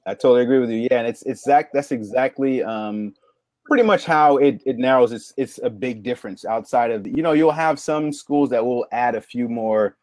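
A middle-aged man, a barber guest, chatting casually on a video call and telling stories with lively acted-out quotes. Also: medium pitch, normal speed, dry recording. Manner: animated storyteller, acts out quoted lines. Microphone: video call mic.

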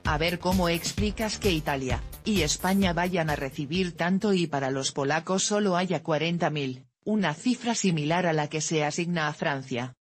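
Low-voiced woman reading news over music. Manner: even, measured newsreading. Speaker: news narrator. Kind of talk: read news narration. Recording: voice-over with loud music bed.

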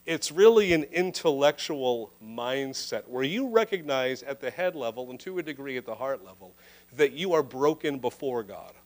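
A man in his thirties preaching a sermon into a handheld microphone indoors, earnest and emphatic, slowing down to stress key phrases. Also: fairly high pitch. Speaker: pastor. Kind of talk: livestreamed sermon. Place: indoor church room.

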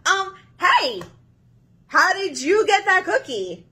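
A woman's voice: very high voice, sing-song, sharp tone, voice pushed up, heavily acted. A woman voicing an indignant, whiny pigeon from a picture book, complaining dramatically. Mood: incredulous. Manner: dramatic, exclaiming, drawn-out complaints.

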